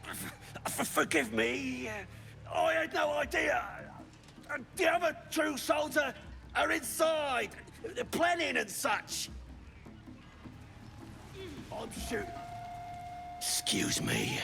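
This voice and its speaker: Very gravelly goblin warrior. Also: male voice, very high voice, very animated, takes it slowly, long pauses, pushed and bright, faint music behind, full character voice. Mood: cowed, grovelling. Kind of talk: fantasy game creature acting.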